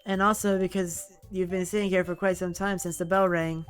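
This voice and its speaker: A man's voice: high voice, level tone, brisk, a bit bright, soft background music. A man voicing a friendly, polite teenage schoolgirl who is class representative in a game, with a raised voice and some stumbles.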